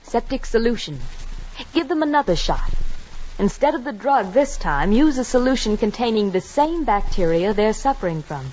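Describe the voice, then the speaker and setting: A woman's voice: on the high side, sing-song, moderate pace, a little mellow. An actress playing a determined young female communist saboteur, precise and controlled, in 1950s radio drama style. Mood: cool, explanatory. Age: young adult. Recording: dull, hissy 1950s radio recording.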